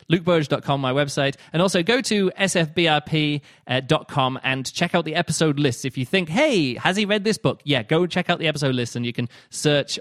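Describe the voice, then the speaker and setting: A man's voice: mid-range voice, fairly bright tone, no room sound, clean studio sound. A man hosting a solo podcast, chatting casually and rambling with many fillers and restarts. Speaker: podcast host.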